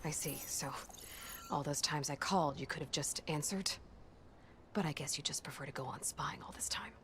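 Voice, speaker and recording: A woman's voice: sits low, moderate pace, a bit bright, in a whisper. A woman playing a determined, sardonic young hero in a video game, with a dry, defiant way of talking. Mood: sarcastic.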